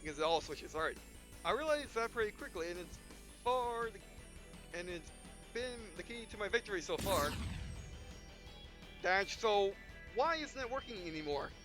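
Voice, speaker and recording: High bright man reading a game aloud. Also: lively intonation, moderate pace, pauses often, faint music behind. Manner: reads punctuation aloud in a mock-dramatic way. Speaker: let's play gamer. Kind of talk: reading game text aloud with casual commentary.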